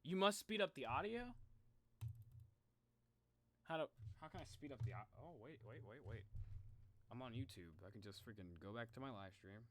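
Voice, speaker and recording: A young man chatting casually to viewers on a livestream in an informal, animated way. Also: mid-range voice, moderate pace, pauses often, slight background noise. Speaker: live streamer.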